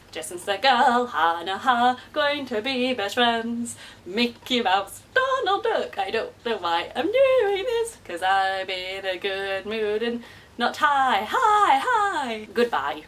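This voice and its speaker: Lively, chatty young woman vlogger. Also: takes it slowly. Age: 20s. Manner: chatty, self-mocking, frequent swearing and big pitch jumps. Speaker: YouTuber. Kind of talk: casual confessional vlog.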